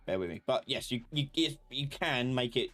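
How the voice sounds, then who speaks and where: A man hosting a casual live software tutorial, thinking aloud and muttering or humming to himself as he works. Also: medium pitch, lively intonation, normal speed. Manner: informal, muttering and humming while working. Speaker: host, software instructor.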